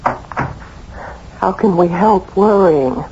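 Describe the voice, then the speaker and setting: An actress in an old-time radio drama playing a protective, anxious wife, polite and solicitous in a theatrical way. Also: female voice, on the low side, animated, very slow, a little mellow, a little hiss or hum, full character voice. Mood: uneasy. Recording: dull old radio broadcast with music.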